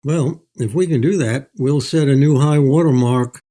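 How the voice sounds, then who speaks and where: An older man speaking as an author, reflective and articulate, with measured, literary phrasing. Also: mid-range voice, dark tone. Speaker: author, interview guest. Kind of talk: reflective storytelling, reading and interview.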